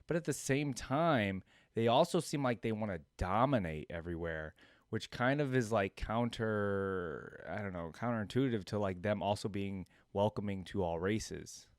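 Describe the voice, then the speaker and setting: A man's voice: lowish voice, expressive, moderate pace, dry recording, clean studio sound. A man reacting casually to game voice lines, thinking aloud and often trailing off. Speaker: reaction youtuber.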